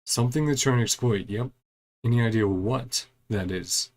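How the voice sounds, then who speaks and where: A man's voice: medium pitch, moderate pace, a little mellow. A man giving casual live commentary as he streams a game, muttering to himself and trailing off.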